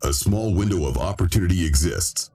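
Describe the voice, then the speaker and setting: An actor playing a grave military briefer, giving a formal, authoritative mission briefing in a video game. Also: male voice, deep voice, lively intonation, a little fast, dark tone, breathy edge, faint music behind, full character voice.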